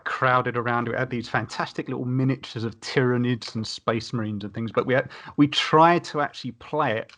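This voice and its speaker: A man's voice: medium pitch, a bit bright. A man speaking as a podcast panelist, telling personal anecdotes casually in a rambling, wordy way.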